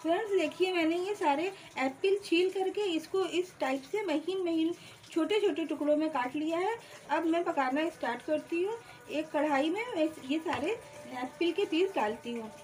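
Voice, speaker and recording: A woman's voice: extremely high pitch, moderate pace, darkish tone, slight background noise, rough recording. A woman hosting a cooking channel, explaining each step of a home recipe plainly and calling her viewers friends. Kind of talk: step-by-step cooking demonstration voice-over. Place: home kitchen.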